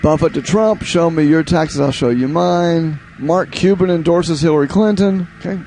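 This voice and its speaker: A man's voice: a little high, normal speed, warm tone, slight background noise. A man giving opinionated commentary on news headlines in a sarcastic manner, full of rhetorical questions. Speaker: political commentator. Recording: music playing behind the voice. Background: music.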